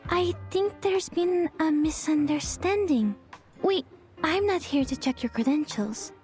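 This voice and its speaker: A woman's voice: sits high, unhurried, darkish tone, faint music behind. An actress playing a timid, awkward young female agent who speaks hesitantly in a video game. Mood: flustered, hesitant. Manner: hesitant, stammering. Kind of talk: video game character acting.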